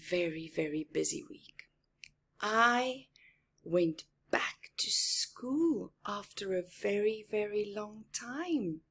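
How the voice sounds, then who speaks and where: Very slow, husky woman reading to children. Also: mid-range voice. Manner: addresses young children, simple explanations, deliberate emphasis. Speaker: storytime reader and teacher. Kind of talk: children's picture-book read-aloud with chatty asides.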